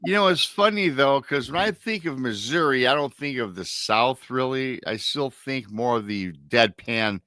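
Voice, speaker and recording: A man's voice: medium pitch, normal speed, few pauses, bright, forceful tone, dry recording. A middle-aged man with a Michigan American accent, hosting a casual talk show in a rambling, repetitive way. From Michigan, USA. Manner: rambling, repeats himself. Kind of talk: casual chat.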